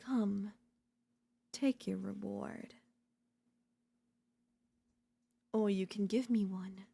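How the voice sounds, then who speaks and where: A woman voice-acting an obsessive, unhinged yandere in a dark ASMR audio roleplay, theatrical and taunting, mocking and seductive. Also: mid-range voice, steady tone, takes it slowly, vocal fry, husky, heavily acted. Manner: theatrical, taunting, swinging between intimate murmurs and intense outbursts.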